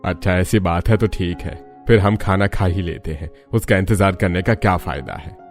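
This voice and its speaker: A man's voice: low voice, normal speed, soft background music. A man voicing an older, matter-of-fact father-in-law in a cartoon story. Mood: resigned. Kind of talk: Hindi cartoon story character voice.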